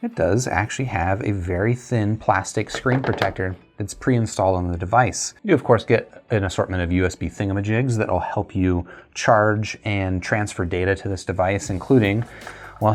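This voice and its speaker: A man's voice: on the low side, normal speed, a little hiss or hum. A man chatting casually through a tech review and unboxing, conversational and thinking aloud as he goes.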